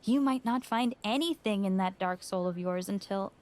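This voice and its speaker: A woman's voice: medium pitch, normal speed, a little mellow, close to an everyday voice. A woman playing a sarcastic, candid friend of a wrestler in a video game cutscene. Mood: earnest advice.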